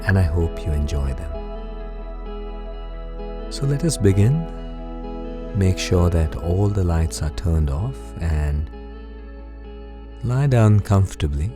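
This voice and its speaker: A man gently and calmly narrating a scripted bedtime story for guided sleep meditation, soothing and unhurried. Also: sits low. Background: music.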